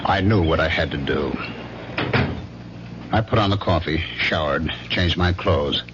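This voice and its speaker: Low male lead for radio mystery: haunted killer confessing in deliberate fragments, muffled old recording with music underneath. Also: noisy background. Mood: cold resolve. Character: haunted, confused man turned killer, coldly recounting his crime. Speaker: lead actor and narrator. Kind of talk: radio drama first-person narration.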